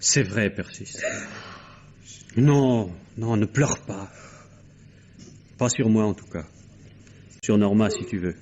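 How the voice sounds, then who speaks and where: An actor playing a bitter husband and murderer in an old radio drama, who speaks with indignation and then quiet resignation. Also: male voice, on the low side, slow pace, pauses often. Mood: resigned. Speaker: David Rollet. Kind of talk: 1950s radio drama acting. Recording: dull, faintly noisy old radio recording.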